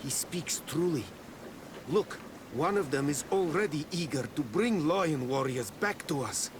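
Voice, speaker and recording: Defiant tribesman warrior for a fantasy game: slightly high pitch, terse, solemn; noisy ambience behind. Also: expressive, unhurried. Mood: wary, grudging. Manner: terse, solemn, formal diction. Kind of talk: video game cutscene acting. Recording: clear ambient noise behind.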